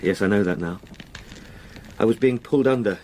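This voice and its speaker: An actor playing a shaken, courteous young English gentleman in a radio drama, speaking formally and hesitantly. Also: on the low side, normal speed, slight background noise, close to an everyday voice. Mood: troubled, resolute. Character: shaken, polite young gentleman haunted by terror.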